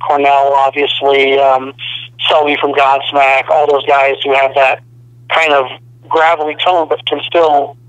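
A young man, a rock band's singer, talking on a phone interview in a relaxed, rambling way full of "you know.". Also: mid-range voice, a little slow, clean tone, no echo. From Arizona. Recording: dry telephone line.

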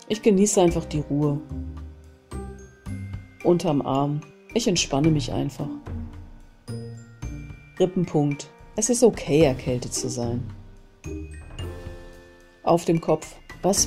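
A woman with a cold hosting a self-help channel, calmly guiding a tapping session and repeating short phrases between named tapping points. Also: sits low. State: has a cold. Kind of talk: guided EFT tapping session.

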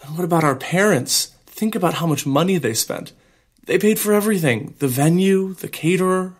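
A man's voice: high-pitched, animated, normal speed, husky. Young male groom, American, tender, playful tease, naturalistic radio play. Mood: concerned. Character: young groom, out-of-work sous chef, tender and teasing. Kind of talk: naturalistic audio drama acting.

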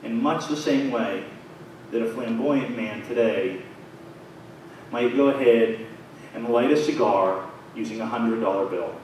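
A man in his thirties preaching a sermon in a small church, his phrases rising and falling in a measured way. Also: medium pitch, darkish tone. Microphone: far camera, pulpit mic. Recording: very echoey church from far off, faint noise.

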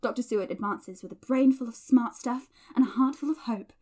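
A woman's voice: on the high side, fairly quick, a little mellow, husky. An actress playing a playful, nerdy young woman in a chatty audio drama diary, teasing and theatrical. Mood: mock dramatic.

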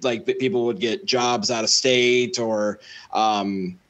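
A man's voice: mid-range voice, fairly even intonation, takes it slowly, fairly bright tone, dull audio. Young man rambling as a musician guest. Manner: rambling, with many fillers and restarts. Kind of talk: casual interview. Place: home room. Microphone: studio mic close, headphones on. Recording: video call.

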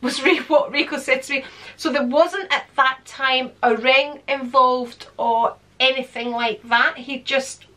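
A woman's voice: high-pitched, measured pace. A woman in her fifties, born in London, with a Scottish accent tinged by London Greek, chatting and rambling to camera at home. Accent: Scottish with some London Greek. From London, England. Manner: chatty, rambling, expressive hand gestures. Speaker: channel host. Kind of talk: casual to-camera vlog chat. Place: home room with shelves of handbags.

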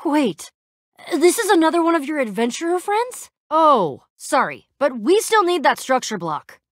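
Video game heroine: brash young adventurer, high voice, very animated delivery, slangy and eager. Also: female voice, moderate pace, no echo, clean studio sound. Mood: awed, casual. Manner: casual, slangy, eager and awkwardly trying to sound cool. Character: brash young woman adventurer, excitable, sarcastic, starstruck fangirl. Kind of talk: animated game dialogue acting.

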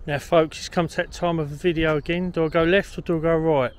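A man's voice: fairly high pitch, little pitch movement, fast, a little hiss or hum. A middle-aged man, chatting casually outdoors into a handheld camera as a walking vlogger. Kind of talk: casual walk-and-talk to camera. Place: outdoors on canal paths and country trails. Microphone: handheld camera at arm's length.

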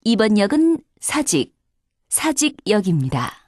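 A woman's voice: medium pitch, sing-song, unhurried, breathy edge, no room sound, studio quality. A woman reading formal scripted subway announcements in a polite, carefully enunciated way.

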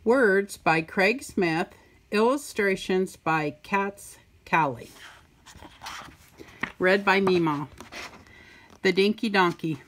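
An older woman reading a children's picture book aloud in a playful, sing-song way with deliberate emphasis. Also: mid-range voice, a little hiss or hum. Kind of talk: storybook read-aloud.

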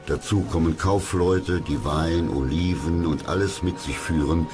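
Casting: male history narrator, extremely low voice, measured and factual, music bed behind. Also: fairly even intonation. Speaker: documentary narrator. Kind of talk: scripted history documentary narration. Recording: clear music under the voice.

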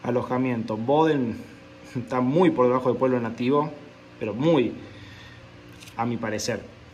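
A young man talks casually into a phone held at arm's length in a small room. His pitch stays at an ordinary middle level, and his manner is offhand and chatty. A faint hiss of background noise sits under his voice. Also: unhurried, darkish tone. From Argentina. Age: young adult. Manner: chatty, offhand, repeating that he does not know the places. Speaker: food and travel vlogger. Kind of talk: casual reaction video. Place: indoors, small room at home. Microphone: phone camera at arm's length.

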